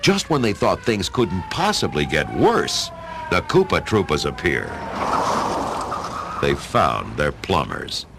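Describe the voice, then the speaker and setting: A man's voice: sits low, huge pitch swings, takes it slowly, gravelly, noisy background, heavily acted. A man narrating a radio play as a dramatic storyteller, with a folksy, wry manner.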